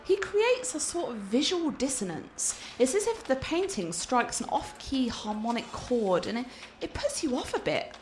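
A woman's voice: sits high, big pitch swings, normal speed, hardly any pauses, a little mellow, soft background music. A woman playing a cultured, confident art scholar and teasing fiancée in a radio play, articulate and playful. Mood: enthusiastic.

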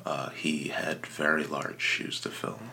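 A man plays a reverent podcast character with an extremely low voice full of heavy fry. He speaks in halting, whispery phrases with a sharp edge, over noticeable background noise. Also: completely flat delivery, full character voice. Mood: hushed reverence. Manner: halting, hushed, whispery delivery. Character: reverent, hushed small-town radio devotee and podcaster. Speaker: podcast guest and producer. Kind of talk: improvised comedy podcast character.

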